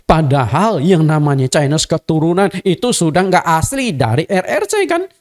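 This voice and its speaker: A man's voice: on the high side, big pitch swings, normal speed, darkish tone. A middle-aged man from Indonesia, a native Hakka speaker, giving a conversational sermon as a pastor and speaking directly to his congregation. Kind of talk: sermon teaching.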